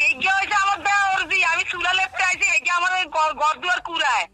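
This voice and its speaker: A woman's voice: very high-pitched, fairly even intonation, fast talker, bright, forceful tone. A woman with a regional Bangladeshi accent in a casual phone conversation, questioning and plain-spoken. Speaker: call recipient. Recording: phone call with music behind.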